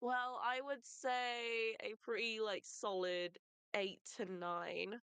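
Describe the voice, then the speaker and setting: A woman voice actor answering hesitantly, halting with many fillers. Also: fairly high pitch, level tone, fairly bright tone, clean tone, no room sound. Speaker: voice actor on a panel.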